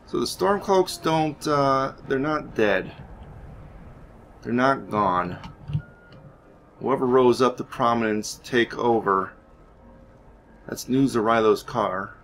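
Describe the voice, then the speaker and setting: A man's voice: mid-range voice, lively intonation, slow, gravelly, pauses often, fairly bright tone, slight background noise. A man giving casual, rambling game commentary, thinking aloud hesitantly as he plays. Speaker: gaming YouTuber narrating his playthrough.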